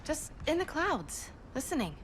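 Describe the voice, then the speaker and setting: A woman's voice: high-pitched, very animated, a little slow, very noisy. A woman playing a restless young superheroine in a video game, earnest and impatient in her delivery. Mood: frustrated, earnest. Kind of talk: cinematic video game acting.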